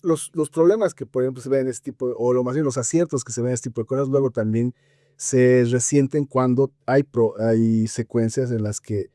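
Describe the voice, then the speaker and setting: A man with a Bolivian Spanish accent talking film criticism conversationally as a podcast co-host, haltingly searching for words with frequent filler tags. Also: mid-range voice, steady tone, dark tone, dry recording, clean studio sound.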